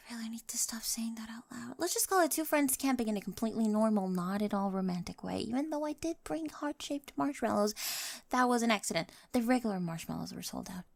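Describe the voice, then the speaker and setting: Woman acting high-voiced, awkward, lovestruck nerdy girl. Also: vocal fry. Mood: nervous upbeat. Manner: rambling, self-undercutting, nervous confessions. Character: eccentric, awkward, infatuated nerdy teenage girl, over-eager planner. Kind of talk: ASMR romantic roleplay monologue.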